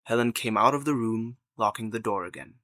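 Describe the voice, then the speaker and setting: A man's voice: medium pitch, fairly quick, a bit bright, no echo, clean studio sound. A man narrating a scripted story in the third person as the narrator of an audio drama.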